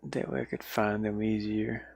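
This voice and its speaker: A man's voice: lowish voice, little pitch movement, normal speed, creaky, no echo. A man in casual solo livestream chat, rambling and muttering as he thinks aloud while working, recorded inside a van. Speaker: livestreamer and indie game developer.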